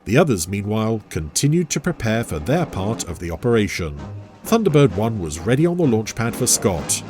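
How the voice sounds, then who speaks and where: A man's voice: lowish voice, big pitch swings, moderate pace, darkish tone, faint music behind. A man reading a scripted adventure story as its narrator.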